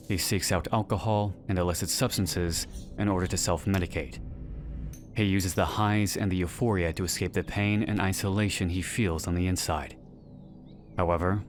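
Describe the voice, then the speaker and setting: Male narrator, low register, earnest and measured scripted analysis read, soft music bed. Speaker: narrator of a series analysis video. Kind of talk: scripted narration, video essay. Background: background music.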